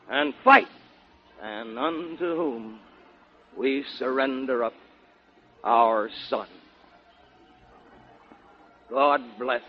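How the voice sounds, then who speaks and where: A man with an American accent giving a solemn, fervent patriotic recitation in dramatic 1940s radio style. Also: mid-range voice. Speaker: guest orator on a radio show. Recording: muffled 1940s radio broadcast recording.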